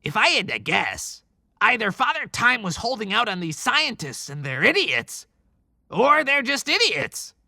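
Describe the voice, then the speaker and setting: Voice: mid-range voice, wildly swooping pitch, unhurried, creaky, bright, forceful tone, no echo, clean studio sound, heavily acted. An actor playing a cocky, tech-savvy sidekick creature with a snide, dismissive way of talking in a cinematic audiobook.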